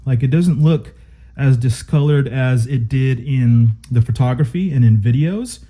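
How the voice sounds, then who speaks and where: Excited man with a dark, mellow voice. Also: medium pitch, unhurried. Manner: excited, chatty, addresses viewers as guys. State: stuffy, recovering from illness. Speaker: toy reviewer. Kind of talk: casual unboxing review.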